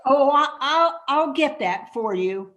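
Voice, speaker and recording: Slow, high-voiced easygoing bar patron, played by a woman. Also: expressive, dull audio, background noise. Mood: friendly. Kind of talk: reader's theatre radio play acting.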